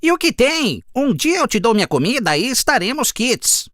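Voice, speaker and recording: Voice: mid-range voice, very animated, moderate pace, a bit bright, dry recording, clean studio sound. An actor voicing a clever, sly fox in a children's cartoon fable, coaxing and smug in a theatrical way. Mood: bargaining. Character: clever sly fox who sweet-talks and teases.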